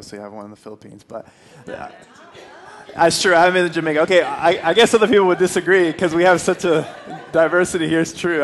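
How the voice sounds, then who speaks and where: A young man in his twenties from Puerto Rico, giving a friendly, earnest church talk in a hall. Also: on the high side. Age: 20s. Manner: friendly, earnest, some restarts. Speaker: guest speaker. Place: church hall with stone fireplace. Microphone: handheld mic, then headset.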